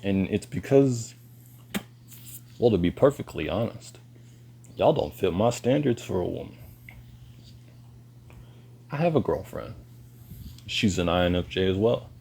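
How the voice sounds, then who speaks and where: A man, lecturing bluntly in a halting monologue to camera. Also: animated, creaky, mellow, slight background noise. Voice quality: deep voice. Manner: slow and halting, pauses and restarts. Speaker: vlogger.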